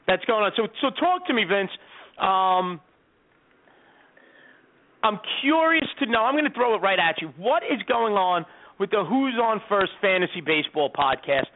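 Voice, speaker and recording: A man's voice: high-pitched, lively intonation, moderate pace. A man in his thirties hosting a fantasy sports radio show, rambling and repeating words as he talks. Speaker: host. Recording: tinny phone-like sound.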